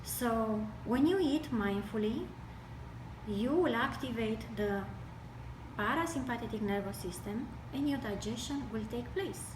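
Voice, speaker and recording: A woman from Romania with a Romanian accent gives an explanatory health talk, speaking deliberately in broken phrases. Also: on the high side. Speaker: health speaker with medical training. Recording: distant mic, echoey room, noisy background.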